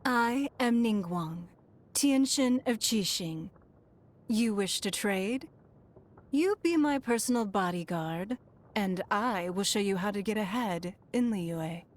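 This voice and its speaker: A woman's voice: mid-range voice, lively intonation, slow. A woman playing a poised, shrewd and wealthy trade magnate in a video game, speaking in a composed, imperious, formal way. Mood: poised, authoritative. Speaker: game character voice. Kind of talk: scripted video game voice lines. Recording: music behind the voice.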